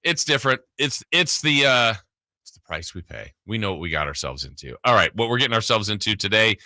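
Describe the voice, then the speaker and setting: A man's voice: fairly low pitch, sing-song, a little fast, sharp tone, no room sound. A man hosting a sports radio show, chatting casually in a rambling, conversational way close to the mic. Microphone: close studio broadcast mic.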